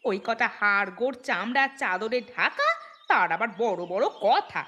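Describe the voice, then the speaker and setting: A woman's voice: on the high side, expressive, moderate pace, pushed and bright. A woman playing a gluttonous, quarrelsome wife in a cartoon, nagging and melodramatic. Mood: demanding.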